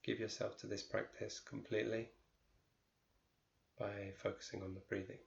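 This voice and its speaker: A man leads a guided meditation in a fairly low, even voice with little melodic movement. He speaks in short, measured phrases and leaves pauses between them. The recording is roomy and somewhat muffled. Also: gravelly. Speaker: meditation teacher.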